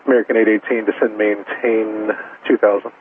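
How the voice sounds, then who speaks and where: A man's voice: on the low side, level tone, fairly quick. A man working as an air traffic controller, giving clipped procedural instructions to aircraft over aviation radio. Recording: tinny narrowband radio with faint static.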